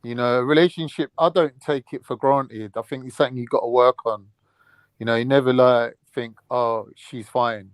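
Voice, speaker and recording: A man's voice: mid-range voice, fairly even intonation, normal speed, clear, resonant tone, no echo. A man chatting on a podcast panel, rambling and filling his talk with "like" and "you know what I mean". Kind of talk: casual debate chat.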